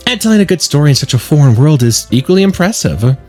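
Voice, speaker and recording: A man voicing a gentle, reserved schoolgirl in a visual novel, thoughtful and articulate. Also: on the high side, sing-song, fast, faint music behind, voice pushed up. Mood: passionate. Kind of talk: reading visual novel dialogue.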